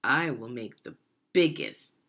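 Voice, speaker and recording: A woman's voice: very deep voice, expressive, slow, a bit bright, pitched below the performer's own voice, full character voice. A woman reading the part of a boastful, confident chef in a children's storybook, with emphatic delivery. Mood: proud, boastful.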